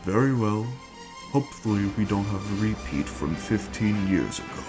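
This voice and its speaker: Guy doing a menacing villain, really deep, measured and formal, plotting conquest, loud music under him. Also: expressive. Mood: cold, calculating. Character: scheming, menacing male villain bent on conquest. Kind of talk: amateur dramatic reading.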